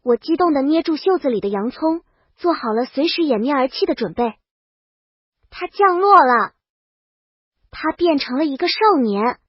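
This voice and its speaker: High-pitched woman narrating a comic tale. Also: no room sound, muffled recording. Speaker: audiobook narrator. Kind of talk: first-person comedic storybook narration.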